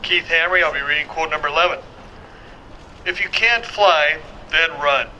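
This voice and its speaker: A man's voice: a little high, moderate pace, bright, forceful tone. A man reading a quotation aloud at a public event. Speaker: quote reader at event. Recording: dull sound, faint outdoor noise.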